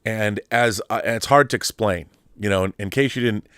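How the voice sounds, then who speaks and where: A middle-aged man with a fairly low voice, recorded close and dry with no room sound. He hosts his own show and talks in a loose, boisterous ramble, circling back and restarting his sentences as he goes. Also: brisk, a bit bright, clean tone, no echo. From Mercer Island, Washington, USA. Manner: rambling and nostalgic, with frequent restarts and asides. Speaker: podcast host. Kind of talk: casual monologue.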